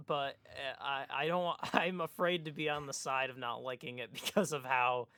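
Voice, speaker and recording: A man co-hosting a podcast in casual chat, with a hesitant, halting way of talking. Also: medium pitch, steady tone, a crowd in the background. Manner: hesitant, halting, repeats word starts. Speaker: podcast co-host.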